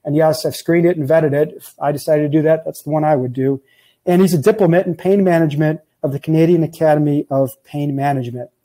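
A man in his forties hosts a health podcast from his office, upbeat and joking as he rolls through long introductions. His voice sits a little high yet stays warm and soft-edged. It comes through an earbud mic on a video call. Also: fairly even intonation, fast talker. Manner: upbeat, jokey, reads intro lists. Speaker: podcast host, chiropractor. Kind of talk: casual interview chat. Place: office with framed diplomas, indoors. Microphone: earbud headset on a video call.

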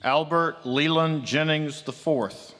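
A man reading graduates' names at a commencement in a slow, measured, ceremonial manner at a podium microphone. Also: on the high side, fairly even intonation, a bit bright. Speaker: commencement name reader. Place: large auditorium with long reverb. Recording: long hall reverb.

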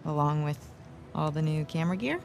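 A woman playing a confident, warm, middle-aged American wife and adventurous journalist in a naturalistic video game cutscene, with a teasing, assured manner. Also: deep voice, expressive, fairly quick, vocal fry. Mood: earnest, hopeful. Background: music.

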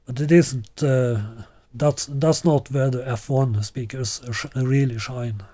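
A man in his sixties presenting a product in an unscripted way, halting and deliberate with long hesitations. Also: medium pitch, steady tone, mellow, no room sound. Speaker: loudspeaker designer presenting a product. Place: small living room, indoors.

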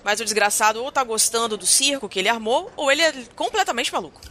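Brisk, bright-voiced policewoman played by an actress. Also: a little high, animated. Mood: urgent, determined. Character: brisk, no-nonsense policewoman leading a murder case. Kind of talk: audio drama acting.

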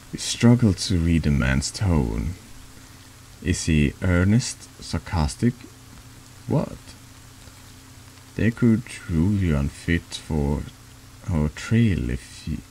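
A man with a very deep voice narrates a horror text adventure at an unhurried pace. His delivery is halting, reading out both narration and dialogue in an ASMR-like style, with some noise behind him. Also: gravelly, darkish tone, poor audio. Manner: halting reading, stumbling over words. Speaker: streamer reading a text adventure game aloud. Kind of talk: slow narrated read-aloud of horror story dialogue, ASMR-like.

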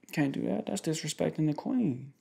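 A young man in a casual, improvised boyfriend roleplay, speaking informally in slangy, halting, rambling phrases. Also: a little high, animated, vocal fry, warm tone. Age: young adult. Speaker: ASMR roleplay performer playing a protective boyfriend. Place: small indoor room at home.

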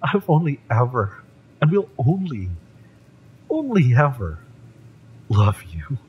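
A man playing a fiery, lovesick young mercenary bodyguard in a romance audio roleplay, speaking with halting, wounded emotion. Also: mid-range voice, very animated, muffled recording, a little hiss or hum. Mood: passionate confession.

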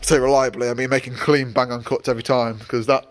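A man's voice: medium pitch, flat delivery, fast talker, slight background noise. A young man vlogging casually from his workshop yard, rambling with many fillers and restarts. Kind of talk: casual vlog narration.

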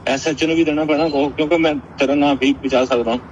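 Guy on a recorded call, talks fast, pushy and curt, dull noisy sound. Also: a little high, steady tone. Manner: curt, insistent. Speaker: police officer. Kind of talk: phone conversation.

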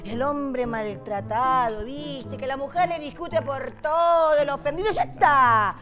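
A shrill, high-pitched older wife from a TV comedy sketch, bossy and scolding, her voseo-laced sharpening every complaint. She talks fast and pushy over faint music in the background. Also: a bit bright. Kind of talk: sitcom sketch acting. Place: TV studio set, indoors. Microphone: lapel mic.

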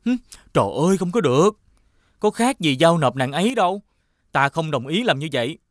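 A man voicing an earnest young prince in an animated fairy tale, speaking expressively. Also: a little high, brisk, dry recording, clean studio sound, natural voice. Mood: doubtful, protesting.

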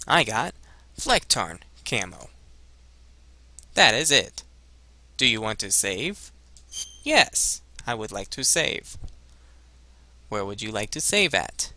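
Bright-voiced man, animated game commentary. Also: fairly low pitch, takes it slowly, long pauses, dry recording. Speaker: gaming video host. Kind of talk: casual let's play commentary, reading screens aloud. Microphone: headset.

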